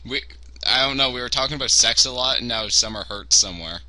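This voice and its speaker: A man's voice: medium pitch, fast talker, pushed and bright. A man co-hosting a casual livestream podcast, joking crudely, swearing and laughing at his own jokes. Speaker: podcast co-host.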